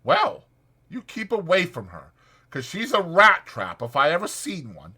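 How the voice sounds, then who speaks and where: Irritable ranch hand played by a male narrator, bossy and protective, pitched up, forceful, rustic American speech. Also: wildly swooping pitch, measured pace, slightly rough, full character voice. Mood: fierce scolding. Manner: brusque, scolding, rural American dialect.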